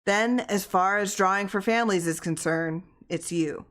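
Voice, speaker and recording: Female narrator as a village official, formal, brisk, directing, matter-of-fact, storybook reading. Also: medium pitch, a bit bright, close to an everyday voice. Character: brisk, formal small-town official running a ceremony.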